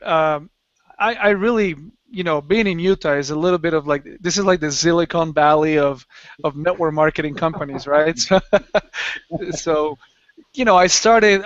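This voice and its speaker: A man's voice: a little high, a bit bright, smooth, no room sound. A man from Colombia, a guest on a video call, chatting casually and laughing between phrases. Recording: muffled lo-fi video call audio.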